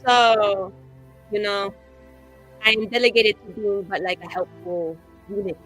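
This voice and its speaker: A woman acts out a brash young female mage who sees herself as a great revolutionary. The voice is bright and forceful, theatrical yet slangy, the temper blunt and troublemaking. Faint music plays behind this tabletop game character. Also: medium pitch, steady tone, measured pace, natural voice, poor audio. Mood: grandiose. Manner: theatrical, self-important, casual slangy phrasing.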